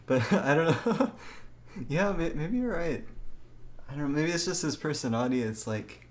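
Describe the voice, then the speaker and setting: A man's voice: fairly high pitch, animated, normal speed. A man who streams games, chatting casually and reading aloud, often giggling as he talks. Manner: giggly, breaks into laughter while talking. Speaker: game streamer. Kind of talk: casual live stream commentary with read-aloud.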